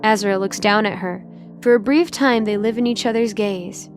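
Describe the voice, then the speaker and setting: A woman's voice: mid-range voice, normal speed, faint music behind. A woman reading scripted, dramatic story narration for an audio drama.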